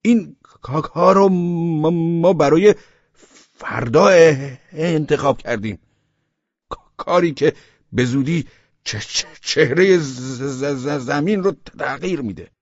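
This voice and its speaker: A man's voice: a little high, lively intonation, no echo, highs cut off, voice pushed up. Fervent, badly stuttering young man. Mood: fervent, straining. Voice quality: heavy stutter, syllables repeated and blocked. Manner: halting, straining, emphatic delivery. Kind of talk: acted character in audiobook narration.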